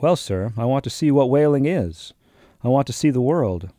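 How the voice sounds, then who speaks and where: A polite young sailor, read by a male narrator in nearly his own voice, deferential and plain in his answers. The timbre is dark and mellow, and the recording is dry and close. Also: medium pitch, animated, normal speed, no room sound, natural voice.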